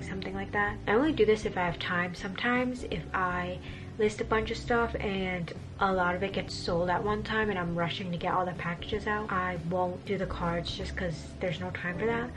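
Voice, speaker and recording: A young adult American woman chatting casually to the camera as a vlogger, in a conversational way peppered with filler words like "like" and "honestly". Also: medium pitch. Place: small indoor rooms at home, bedroom. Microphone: camera at arm's length or on a desk. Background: background music.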